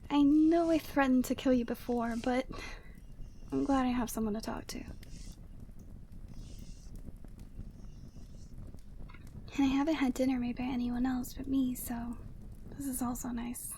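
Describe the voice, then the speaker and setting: A woman voicing a proud, lonely yeti woman in an ASMR roleplay, commanding yet tender and teasing, often slipping into breathy whispers. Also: sits high, fairly even intonation, fairly quick, dark tone, a little hiss or hum, close to an everyday voice. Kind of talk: ASMR roleplay, close and slow character acting.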